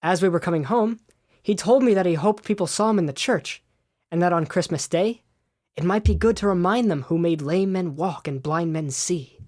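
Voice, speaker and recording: A woman playing a cheerful, gentle poor father in a student radio drama. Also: lowish voice, normal speed, close to an everyday voice.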